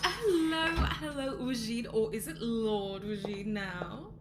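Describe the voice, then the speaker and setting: Woman acting high-voiced, haughty, condescending noblewoman. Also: over loud music. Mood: sugary, teasing. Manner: drawn-out, condescending politeness. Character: haughty, smooth-talking noblewoman on a company board. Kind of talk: ASMR roleplay acting.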